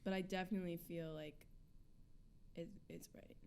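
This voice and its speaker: A twenty-one-year-old woman, a band member, chatting in a radio studio interview, talking fast with lots of fillers and little laughs. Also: fairly low pitch, level tone, vocal fry, a little mellow, a little hiss or hum. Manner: chatty, many fillers, giggly. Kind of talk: casual interview chat.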